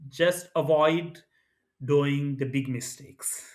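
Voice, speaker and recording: A man's voice: a little high, fairly even intonation, takes it slowly. Man from Pakistan, thoughtful co-host. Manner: thoughtful, rambling with restarts. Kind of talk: conversational podcast discussion.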